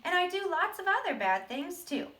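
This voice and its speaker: A woman voicing a mischievous little male sunflower seed confessing in a dramatic, emphatic storybook read-aloud with drawn-out words. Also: extremely high pitch, sing-song, bright, forceful tone, pitched above the performer's own voice, exaggerated voice. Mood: boastful, naughty. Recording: roomy echo, distant microphone.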